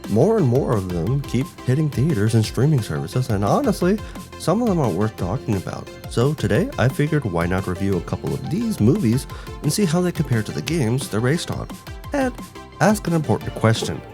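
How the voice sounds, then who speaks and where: A man's voice: mid-range voice, sing-song, fairly quick, over music. A man narrating a scripted review as host, with emphatic, rhetorical phrasing. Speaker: host of a video game movie review show.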